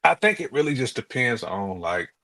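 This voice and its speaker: An American man co-hosting a podcast in casual conversation, telling things in an animated, colloquial way with imagined quotes. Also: medium pitch, moderate pace. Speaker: podcast co-host.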